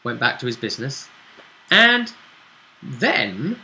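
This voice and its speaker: A British man speaking as a game master, recapping a tabletop role-playing story in a halting, conversational way. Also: medium pitch, very animated, sharp tone, poor audio.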